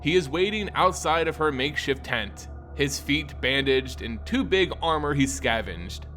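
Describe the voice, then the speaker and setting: A man narrating a scripted fantasy story and recap in a dramatic, expressive way. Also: on the high side, moderate pace, soft background music. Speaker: narrator and host of a lore channel.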